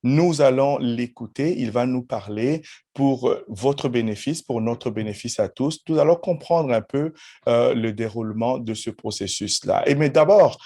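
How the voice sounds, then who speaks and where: A man hosting a podcast, putting conversational questions to his guest in a halting, rephrasing manner. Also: mid-range voice, dark tone. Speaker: podcast host interviewer. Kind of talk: conversational interview.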